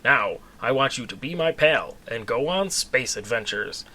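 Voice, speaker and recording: An odd, eager alien scientist from a comic space tale, acted out by a man. He declares things with grand earnestness in a bright voice. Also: mid-range voice, expressive, measured pace. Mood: earnest, eager. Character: red long-limbed alien scientist, eccentric, eager, demanding.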